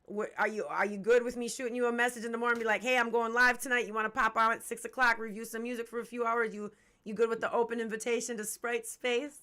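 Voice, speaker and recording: A woman's voice: on the high side, little pitch movement, fast, sharp tone. A woman in her forties hosting a casual hip-hop interview livestream, chatty, candid and slangy, swearing freely. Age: 40s. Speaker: host of a music review livestream.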